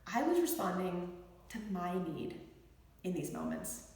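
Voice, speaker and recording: A middle-aged woman, a physician, reading her own book aloud in an expressive, measured and deliberate way. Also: on the low side. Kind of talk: expressive audiobook-style read-aloud. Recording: very echoey room with long reverb.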